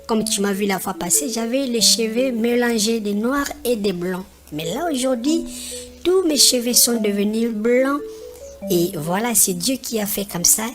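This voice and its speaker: A woman voicing a wise, affectionate grandmother over seventy in an animated film, talking slowly and deliberately to children over music. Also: on the high side, close to an everyday voice. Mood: wise, gentle. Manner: slow, deliberate storytelling to children. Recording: music behind the voice.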